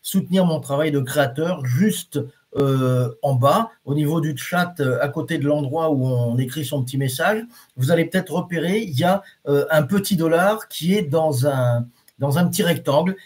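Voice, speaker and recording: A man's voice: fairly high pitch, moderate pace, a little mellow. Chatty man hosting a live lesson. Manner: chatty, hesitant, many fillers and restarts; reads viewer comments aloud. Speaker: reflexology teacher hosting a live stream. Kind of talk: casual live-stream talk to viewers.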